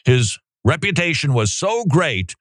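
A man's voice: on the high side, big pitch swings, measured pace, a bit bright, dry recording, clean studio sound. A male commentary host reading a text aloud and reacting with sarcastic, incredulous asides.

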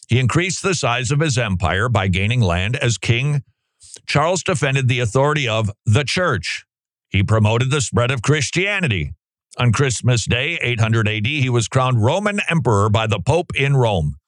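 Animated sarcastic male radio host. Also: medium pitch, dry recording. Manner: animated, mocking, sarcastic asides. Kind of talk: commentary on a curriculum, reading excerpts aloud.

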